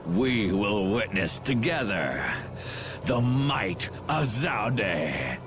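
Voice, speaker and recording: Male theatrical doom-preaching game villain: bitter, very drawn-out delivery, hugely varied pitch. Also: sing-song, background noise, exaggerated voice. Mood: grandiose. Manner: theatrical, grand, declamatory. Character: grandiose male villain, mad with ruin and bitter irony. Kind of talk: dramatic video game voice acting.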